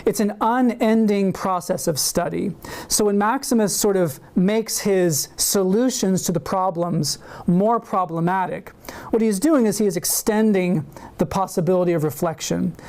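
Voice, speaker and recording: Measured male academic lecturer. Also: high voice, a little mellow. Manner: measured and deliberate, with occasional fillers. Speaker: university lecturer. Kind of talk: academic lecture read from a prepared paper. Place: university seminar room.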